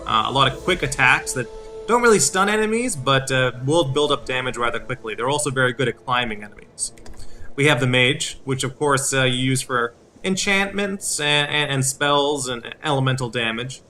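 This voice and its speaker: A man in his thirties hosting a game walkthrough, explaining things in a halting way. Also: medium pitch, bright, forceful tone. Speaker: video series host. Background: game music.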